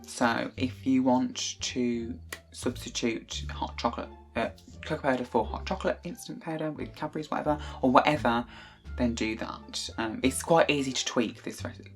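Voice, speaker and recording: A young British man talks straight into a webcam from a small bedroom, rambling through a recipe with plenty of ums and quick self-corrections. Faint music plays softly behind him. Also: medium pitch. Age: young adult. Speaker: vlogger. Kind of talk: casual chatty cooking vlog. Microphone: desk webcam at close range.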